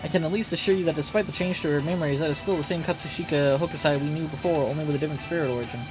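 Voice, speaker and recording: A man voicing an analytical gentleman detective in a game, speaking formally and at length. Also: fairly high pitch, over music, close to an everyday voice, poor audio. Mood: detached. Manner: formal, measured, long-winded.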